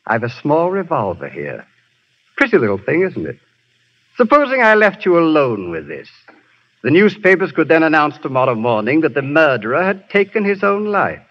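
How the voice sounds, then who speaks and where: A polished spy chief posing as a respectable professor, speaking in a smooth and quietly menacing way. His voice sits a little high and moves freely. The sound is muffled, like a 1930s radio broadcast. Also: huge pitch swings, moderate pace. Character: suave sinister male professor, spy chief. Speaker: villain. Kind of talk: 1930s radio drama acting.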